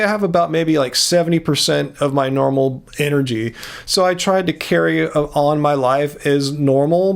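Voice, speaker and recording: A man's voice: fairly high pitch, gravelly. An American man vlogging to camera, explaining things in an earnest, casual way with frequent restarts. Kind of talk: casual vlog talk to camera.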